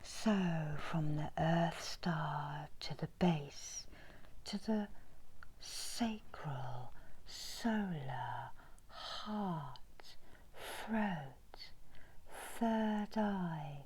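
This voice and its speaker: Middle-aged female meditation guide, breathy whisper, lowish pitch, extremely unhurried delivery in short phrases. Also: a little mellow, a little hiss or hum, poor audio. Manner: slow, deliberate, pausing between short phrases. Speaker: reiki healer guiding a meditation. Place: small indoor room.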